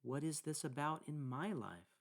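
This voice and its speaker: A man leading a guided meditation, speaking deliberately in short phrases with pauses between them. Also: a little high, lively intonation, vocal fry. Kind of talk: guided meditation narration with scripture reading.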